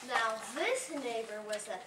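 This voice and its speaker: A woman's voice: a little high, lively intonation, unhurried. A woman reading aloud a scripted story as a readers' theater narrator. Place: library room, distant microphone. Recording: echoey, noisy room from far off.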